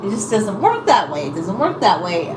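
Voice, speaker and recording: A woman's voice: mid-range voice, big pitch swings, moderate pace. A woman chatting casually to viewers in a rambling, hesitant, apologetic way. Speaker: live-streamer chatting with viewers. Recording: echoey room, distant mic, loud background noise.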